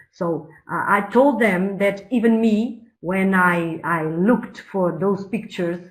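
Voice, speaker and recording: A woman teacher answering hesitantly on a webinar video call, with many pauses and restarts. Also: mid-range voice, rough recording.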